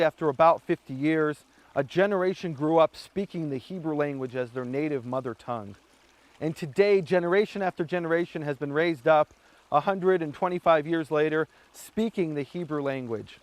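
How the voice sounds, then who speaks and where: A man teaching on scripture and history in a storytelling style, raising his voice to act out what the people in his story said. His voice sits fairly high, and the sound is close and dry with no room echo. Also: moderate pace. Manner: animated storyteller acting out shouted quotes. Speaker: Bible teacher.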